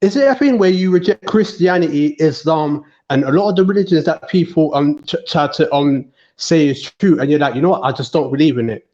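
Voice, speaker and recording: A man's voice: fairly high pitch, a little fast, few pauses, mellow, lo-fi sound. A man from Montserrat on an online panel debate, preaching his view with many repeats and restarts. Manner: repetitive, restarts often, preachy.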